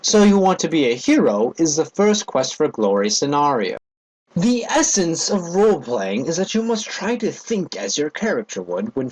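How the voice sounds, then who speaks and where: A man narrates a game manual as a dramatic reading. His voice sits a little high and rises and falls widely. He enunciates deliberately, with theatrical emphasis on the phrases. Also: darkish tone, husky, rough recording.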